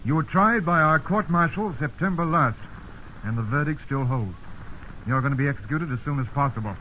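Army officer, played by a man, commanding, self-satisfied, clipped, raised pitch, narrow hissy vintage radio drama. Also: brisk, natural voice. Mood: smug. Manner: clipped, self-assured, authoritative. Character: smug, commanding Oxford-educated British army officer. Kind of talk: 1950s radio acting. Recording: narrow, noisy 1950s radio broadcast.

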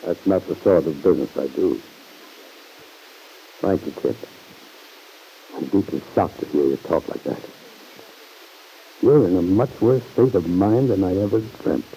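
An actor playing an earnest, middle-aged fatherly uncle in an old-time radio drama, gravely reproving in measured, formal phrases. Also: deep voice, mellow, slight background noise, low-quality recording. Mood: shocked, reproving. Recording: vintage radio recording.

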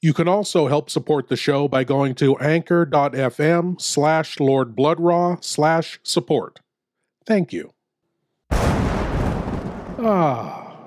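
Ghoulish horror host lord, acted by a man, very slow delivery, theatrical, intimate, suave, long reverb. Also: mid-range voice, darkish tone, natural voice. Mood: solemn. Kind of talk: theatrical scripted horror introduction. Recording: long reverberant hall echo.

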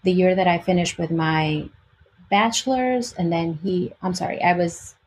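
A woman's voice: on the low side, moderate pace, a little mellow, slight background noise. A woman in her forties with a slight Albanian-Greek accent, casually telling personal stories as an interview guest. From Albania and Greece.

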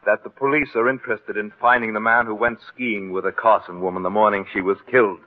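An actor in a 1940s-style radio drama playing an odd, fawning blackmailer, formal and precise, with quiet menace under the politeness. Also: male voice, on the low side, fairly even intonation, fast talker, narrow, tinny audio, natural voice. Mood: quietly threatening. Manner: formal, precise, deferential with veiled threat. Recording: band-limited vintage radio recording.

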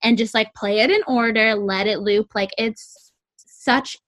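A young woman with a sweet, squeaky voice, chatting casually about music and peppering her talk with "like". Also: fairly even intonation, a little slow, a bit bright. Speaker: co-host reviewing music. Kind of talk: casual chat.

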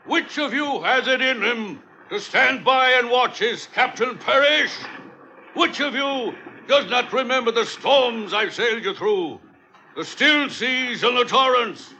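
An actor in an old radio drama playing a frightened, self-righteous sea captain, pleading and imperious like an orator. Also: male voice, extremely high pitch, measured pace, sharp tone, a little hiss or hum, full character voice. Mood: desperate oratory. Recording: muffled vintage radio recording.

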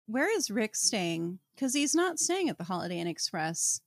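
Woman co-host for a book podcast. Mid-pitched, dry studio sound, wry and jokey, given to mock-solemn asides. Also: very animated, normal speed, no echo. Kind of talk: casual chat, book discussion podcast.

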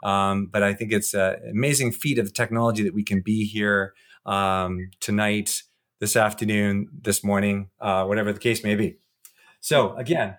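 A man co-hosting an interview podcast, introducing and questioning guests in an informal, somewhat halting and wordy way. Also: fairly low pitch, fairly bright tone.

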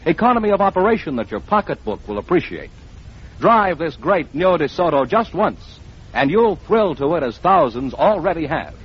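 A man's voice: a little high, big pitch swings, moderate pace, breathy edge. A man announcing on vintage radio, reading scripted copy in a polished mid-century advertising style. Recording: dull vintage radio recording.

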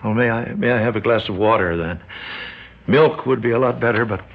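An actor playing an ailing, indignant American senator held captive in a radio drama, with halting, strained speech. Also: male voice, on the low side, gravelly, a little hiss or hum. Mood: suffering. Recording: dull lo-fi radio recording.

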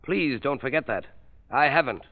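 A man's voice: mid-range voice, lively intonation, phone-quality sound. A man in an old radio drama playing a cool-headed villain who is condescending and silkily polite. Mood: menacingly calm. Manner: silky, patronizing, unhurried politeness. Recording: thin vintage radio recording.

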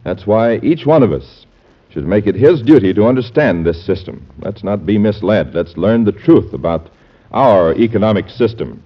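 An American man announces on old-time radio in a low voice. His scripted delivery is formal, earnest and persuasive, and the recording sounds dull. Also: animated, normal speed, husky.

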